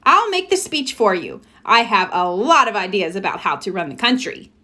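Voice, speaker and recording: A woman's voice: mid-range voice, very animated, normal speed, pushed and bright. A woman voicing a bossy, cheeky little sister in a children's storybook, brash in manner. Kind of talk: children's storybook read-aloud.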